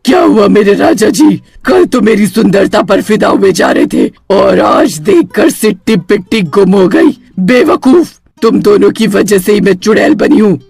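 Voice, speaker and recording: A woman voicing an angry, vengeful witch in a horror cartoon story, loud and taunting. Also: medium pitch, lively intonation, a little slow, gravelly, few pauses, husky, no echo, soft background music, exaggerated voice. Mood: mocking, menacing. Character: angry vengeful bloodthirsty witch, mocking her victims.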